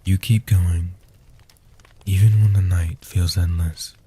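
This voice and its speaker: A man playing an ancient, protective male vampire in a soft-spoken comfort roleplay, speaking in a breathy, hushed, deliberate and soothing way. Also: low voice, steady tone, exaggerated voice. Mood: tender, reassuring. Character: ancient male guardian vampire, protective, tender, world-weary.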